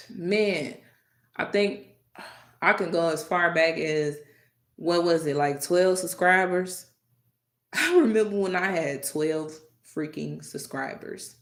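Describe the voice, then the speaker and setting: A woman hosting a live stream, talking casually and directly to her chat, animated and exasperated with commenters. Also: low voice, roomy sound.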